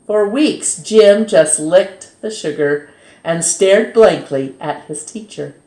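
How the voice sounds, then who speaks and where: A woman reads a children's story aloud as a storytime host, in an expressive, measured way that dramatizes key words. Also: mid-range voice. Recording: roomy, echoing sound.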